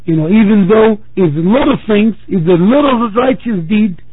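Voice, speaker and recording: A man with a raspy, breathy voice gives a measured sermon, repeating phrases to drive his points home. The sound is thin and phone-like, and very dry. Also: high-pitched, dry recording. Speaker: preacher. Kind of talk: religious talk to a congregation.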